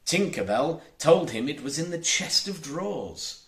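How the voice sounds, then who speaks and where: A man's voice: on the high side, sing-song, unhurried, fairly bright tone, echoey room. A man reading a children's storybook aloud as an audiobook narrator, expressively emphasizing his words. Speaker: audiobook reader.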